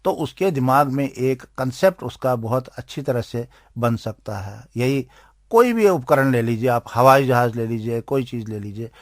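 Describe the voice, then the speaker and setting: A man with an Indian accent giving explanatory interview answers as an expert, speaking in deliberate, halting phrases. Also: mid-range voice, lively intonation, no echo, clean studio sound. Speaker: interviewed expert on aids for blind people.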